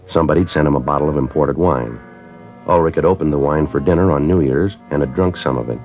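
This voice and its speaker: A no-nonsense police detective from an old radio crime drama, rattling off facts in a very deep, brisk, clipped monotone. The broadcast sounds muffled and dated, with faint music under the narration. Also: male voice. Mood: flat. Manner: clipped, flat, businesslike delivery. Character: terse, matter-of-fact male police detective narrator. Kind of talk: 1950s radio drama acting.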